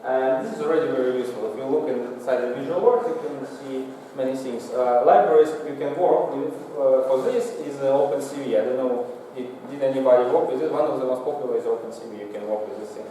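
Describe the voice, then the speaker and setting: A man's voice: mid-range voice, fast, few pauses, mellow. Man lecturing in a very echoey hall. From Singapore. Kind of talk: technical lecture with slides. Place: lecture room, indoors. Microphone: far from the mouth, room pickup. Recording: echoey hall, distant mic, faint noise.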